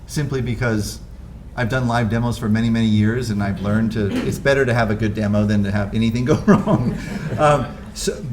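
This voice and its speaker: A man presenting at a tech talk, answering audience questions in a candid, measured way. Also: fairly low pitch, darkish tone, slight background noise. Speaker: conference presenter. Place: conference room.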